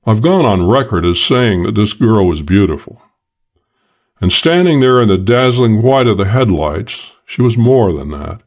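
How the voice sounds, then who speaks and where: A man narrates a crime story in the first person, his voice sitting on the low side. His delivery is measured and dry, in a steady hardboiled manner suited to an audiobook.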